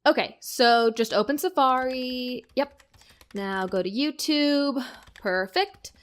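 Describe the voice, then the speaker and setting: Married woman, audio drama. Slightly high voice, snippy, dry, defensive. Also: takes it slowly. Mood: matter-of-fact, snippy. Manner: matter-of-fact, snippy. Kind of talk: acted audio drama dialogue.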